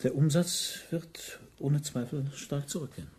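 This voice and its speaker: A man's voice: a little high, measured pace, a little mellow, breathy edge. A man acting a deferential, calculating councillor to a prince in a radio play, reporting in a matter-of-fact way. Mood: measured.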